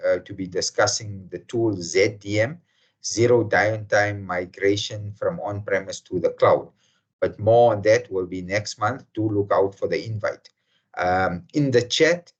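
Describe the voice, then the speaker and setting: Hesitant man hosting a video call. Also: lowish voice. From South Africa. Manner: slow and hesitant, many fillers. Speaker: webinar host. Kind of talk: formal welcome to an online session. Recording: muffled video call audio.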